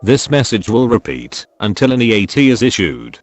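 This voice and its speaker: A man's voice: fairly low pitch, very animated, moderate pace, dry recording, soft background music. A man's synthetic-sounding voice reading a scripted, formal emergency broadcast announcement.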